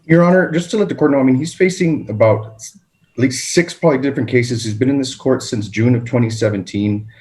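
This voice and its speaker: A man arguing as a prosecutor before a judge, respectful, halting and often correcting himself. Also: mid-range voice, a little mellow. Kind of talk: courtroom argument to a judge.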